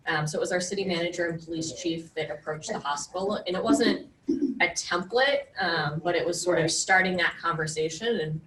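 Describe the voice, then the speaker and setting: A low-voiced woman explains her program's funding plainly and conversationally. Her voice is distant and echoes around the meeting room, with a faint hum underneath. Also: a little fast, fairly bright tone. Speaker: grant-funded prevention coordinator. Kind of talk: answering questions in a group discussion.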